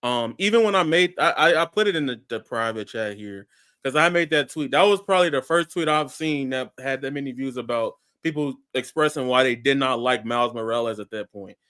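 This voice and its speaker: A man's voice: medium pitch, a little fast, a bit bright. A man who is a guest on a casual panel talk, speaking hesitantly with fillers and frequently checking "right?".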